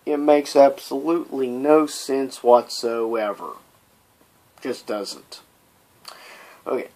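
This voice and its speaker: A man's voice: mid-range voice, takes it slowly, darkish tone. A man hosting a casual, opinionated vlog, talking informally with rambling asides and exasperated rhetorical questions. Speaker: video vlogger, host. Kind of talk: casual, opinionated top-list vlog commentary.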